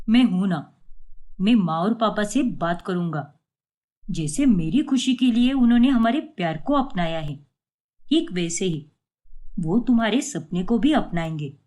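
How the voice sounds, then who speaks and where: A woman voicing a gentle young husband in an animated family story, reassuring, apologetic and reasoning. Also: mid-range voice, a little slow, pauses often, voice pushed down, natural voice. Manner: soothing, reasoning.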